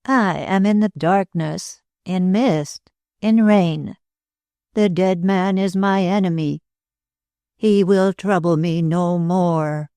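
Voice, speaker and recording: A reader voicing a thin, pale woman clairvoyant who speaks slowly and with emotion, in an audiobook. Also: medium pitch, warm tone, clear, resonant tone, no room sound, pitched above the performer's own voice. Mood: trance. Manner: slow, emotional, solemn. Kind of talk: audiobook reading.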